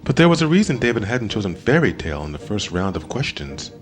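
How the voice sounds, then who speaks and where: A man narrating an audiobook in a dramatic scripted style, expressive, with deliberate pauses. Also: lowish voice, soft background music.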